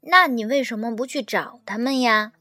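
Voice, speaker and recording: A woman voicing an eager, naive little pet dog in a storybook, childlike, excitable and curious. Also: fairly high pitch, normal speed, no echo, faint music behind. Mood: puzzled.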